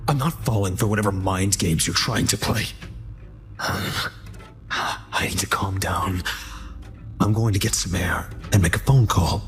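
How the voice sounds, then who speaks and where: Man acting an anxious, controlling kidnapper, low-voiced. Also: measured pace, long pauses. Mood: agitated, defensive. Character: anxious, controlling kidnapper, soothing one moment, panicky the next. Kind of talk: audio drama acting.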